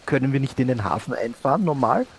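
A man's voice: mid-range voice, animated, fast, gravelly, slight background noise. A man playing a flustered, stammering cork-shop owner in an improvised adventure audio play. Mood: tentative. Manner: hesitant, stammering, bumbling.

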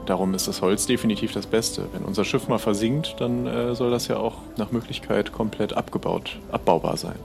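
A man's voice: lowish voice, fairly quick, creaky, hardly any pauses, music in the background. A man playing along in an improvised audio adventure, speaking casually in character and describing his own moves. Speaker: player in an adventure game.

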